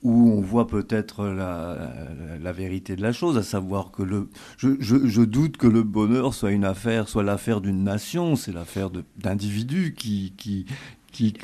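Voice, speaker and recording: A man's voice: on the low side, unhurried, warm tone. A man hosting an interview, who thinks aloud and repeats words while searching for the right phrase. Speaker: interviewer, host. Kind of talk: conversational interview.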